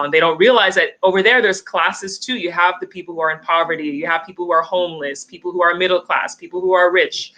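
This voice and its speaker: A woman's voice: on the low side, bright, forceful tone, low-quality recording. A woman with a Canadian accent, hosting an interview and reading out viewer questions in a chatty, easy way. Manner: chatty, reads viewer questions, laughs easily. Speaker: host. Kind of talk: live-stream interview.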